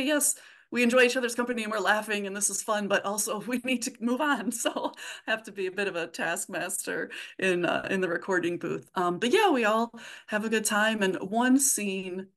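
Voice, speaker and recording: A woman's voice: mid-range voice, brisk, fairly bright tone. A woman chatting informally and casually as a guest being interviewed. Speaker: podcast creator, interview guest.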